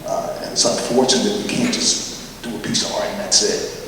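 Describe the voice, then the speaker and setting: A man's voice: on the low side, fairly even intonation, brisk, fairly bright tone. An older man from Chicago, a panel artist telling stories in a rambling way, with lots of fillers and restarts. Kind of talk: panel discussion answer. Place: large gallery hall with audience. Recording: echoey hall, distant mic, noisy.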